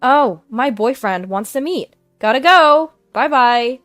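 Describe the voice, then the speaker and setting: A woman's voice: mid-range voice, wildly swooping pitch, moderate pace, no room sound, faint music behind. Brief: shameless young woman, flippant and offhand, voiced by a woman narrator. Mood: breezy.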